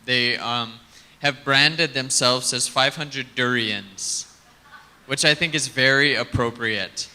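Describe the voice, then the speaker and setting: A man's voice: mid-range voice, slow, pushed and bright, clean tone. Chatty man hosting a conference stage. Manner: chatty, banters with the audience. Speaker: conference emcee. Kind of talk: live stage hosting, casual and joking. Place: conference stage before an audience. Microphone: handheld stage mic.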